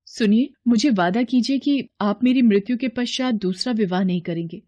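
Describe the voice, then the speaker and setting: A woman's voice: a little high, normal speed, dark tone, lightly acted. A woman voicing a devoted, anxious queen mother in a cartoon fairy tale, earnest, tender and imploring. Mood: worried, imploring. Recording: clear background noise.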